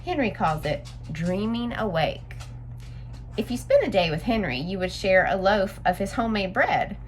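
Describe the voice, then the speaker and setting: Expressive woman reading to children, lively pitch. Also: moderate pace. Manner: expressive, instructive, addressing young listeners directly. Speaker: story time host reading to children. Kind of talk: read-aloud storytelling and simple explanation for children.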